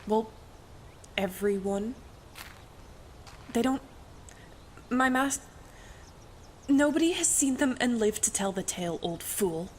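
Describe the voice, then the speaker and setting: Woman playing a slow-spoken, bitter sceptic woman. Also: a little high, lively intonation, slight background noise. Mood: scornful, bitter. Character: bitter, sceptical, grieving woman of mining country. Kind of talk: radio play acting.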